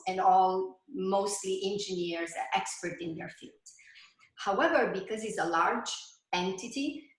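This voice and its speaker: A middle-aged woman with an Italian accent whose first language is Italian. She answers student questions earnestly over a video call, often restarting and pausing as she explains. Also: lowish voice, fairly bright tone, roomy sound, rough recording. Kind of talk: seminar Q&A answer.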